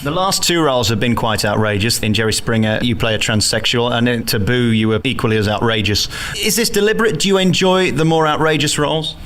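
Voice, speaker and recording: A man interviewing a stage actor, putting his questions in a brisk, rehearsed way. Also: mid-range voice, a bit bright.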